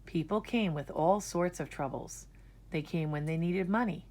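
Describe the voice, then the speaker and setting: A woman storyteller reading a children's storybook aloud in a deliberate, expressive way. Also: fairly low pitch.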